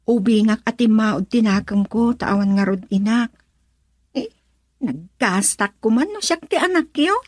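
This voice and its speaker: A woman's voice: on the high side, lively intonation, unhurried, dry recording, studio quality. A woman playing a forward, coy and sympathetic young woman in an Ilocano radio drama, with an Ilocano accent. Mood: coy, bold. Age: young adult.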